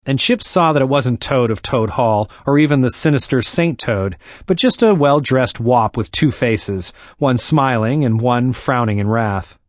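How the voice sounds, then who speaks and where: A man narrating an audiobook in a plain, steady storytelling manner. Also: mid-range voice, unhurried, dry recording, studio quality.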